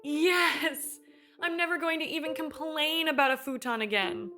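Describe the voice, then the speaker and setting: A woman playing a warm, candid, resilient survivor in a radio play. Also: high-pitched, moderate pace, soft background music. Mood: wistful.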